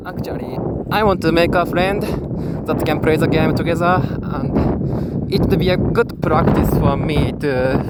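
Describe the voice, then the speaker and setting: Japanese-accented man in heavy wind. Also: on the high side. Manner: hesitant, many fillers and restarts. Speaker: gamer. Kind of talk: casual spoken commentary. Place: outdoors in strong wind. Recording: loud wind noise on the mic.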